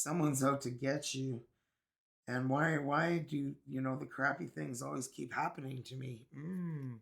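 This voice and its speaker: A man from Canada with a raspy, hoarse voice, still getting over being sick. He talks his way through a tarot reading in a loose, musing manner, full of fillers. His mid-pitched voice has a gravelly fry to it. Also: fairly bright tone. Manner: chatty, musing, many fillers. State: hoarse, recovering from illness. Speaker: tarot reader. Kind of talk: casual tarot card reading.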